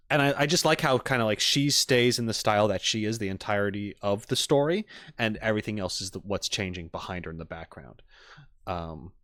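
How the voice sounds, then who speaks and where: Low-voiced man doing enthusiastic reaction commentary. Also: a bit bright, no room sound, clean studio sound. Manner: enthusiastic, analytical, rambling. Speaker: streamer host. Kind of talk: casual reaction commentary to live chat.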